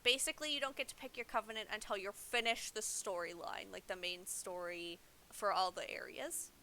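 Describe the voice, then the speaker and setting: A woman's voice: medium pitch, steady tone, a little fast, bright, forceful tone, slight background noise. A young woman giving casual livestream game commentary, explaining things informally as she plays. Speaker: game streamer.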